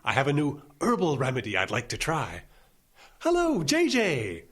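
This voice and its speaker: A man plays an eccentric old gentleman doctor in a comic radio play. His pitch swoops wildly and his voice is a little husky. He is courtly, effusive and whimsical. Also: huge pitch swings, normal speed, exaggerated voice. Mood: genial, effusive. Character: genial eccentric old doctor who takes dictation from ghosts. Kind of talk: audio comedy drama acting.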